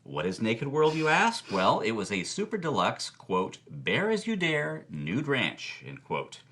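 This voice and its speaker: A man's voice: mid-range voice, huge pitch swings, measured pace, a bit bright, full character voice. A man playing a gossipy film-history expert, telling scandalous stories theatrically as a podcast guest and answering his own questions. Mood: gleeful, scandalized. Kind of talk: animated storytelling in a podcast interview.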